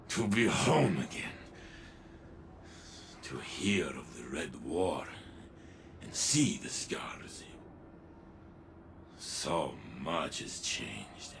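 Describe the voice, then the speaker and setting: A man's voice: low voice, vocal fry, noisy background, exaggerated voice. A man playing a legendary video game warrior back from the dead, solemn, devoted and proud, speaking earnestly and formally. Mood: wistful awe. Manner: earnest, deliberate, formal phrasing. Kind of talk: video game cinematic acting.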